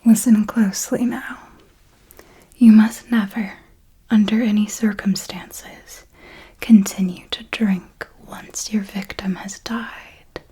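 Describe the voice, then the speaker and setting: A woman playing a possessive, commanding vampire mentor in a whispered ASMR roleplay, speaking in a breathy, deliberate, coaxing way. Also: mid-range voice, steady tone, dark tone, low-quality recording. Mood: stern warning. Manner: slow, deliberate, coaxing and instructive.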